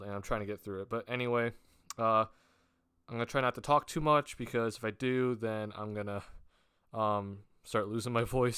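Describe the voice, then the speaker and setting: A man's voice: medium pitch, fairly bright tone, clear, resonant tone, no room sound, clean studio sound. Young male sports vlogger, sick with a cold, rambling and filler-heavy, clean resonant tone. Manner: rambling with many fillers and restarts. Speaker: basketball vlogger. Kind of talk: casual sports talk to camera.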